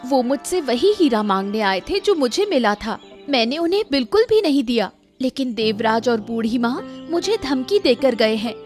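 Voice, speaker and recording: A woman's voice: a little high, sing-song, normal speed, few pauses, a little mellow, soft background music. A woman voicing a firm, earnest, plaintive young village woman in a cartoon story. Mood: worried.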